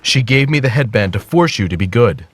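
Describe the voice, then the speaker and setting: Casting: actor as sly monk master for kids' animation, calm yet firm, husky voice with lively intonation. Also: medium pitch, a little fast, dry recording, close to an everyday voice. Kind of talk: children's animated story character acting.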